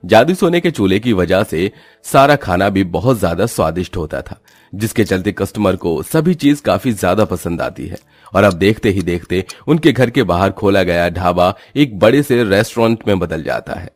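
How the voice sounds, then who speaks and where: Low-voiced male story narrator. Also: animated, normal speed, no room sound.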